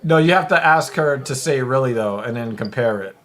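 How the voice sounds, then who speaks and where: Young man, middle pitch, dry teasing manner, crude humor, casual podcast chat. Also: expressive, a little fast, fairly bright tone. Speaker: podcast co-host. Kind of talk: casual banter.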